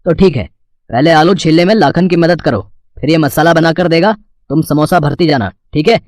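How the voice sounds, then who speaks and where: An actor playing a fair, kindly sweet-shop owner in a cartoon story, businesslike and measured. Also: male voice, a little high, dry recording, studio quality, close to an everyday voice.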